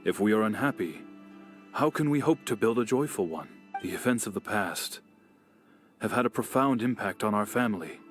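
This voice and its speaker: A man playing a weary, gentle father and clan head in a video game, speaking solemnly and earnestly. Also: lowish voice, lightly acted. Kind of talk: dramatic video game voice acting. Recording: soft music under the voice.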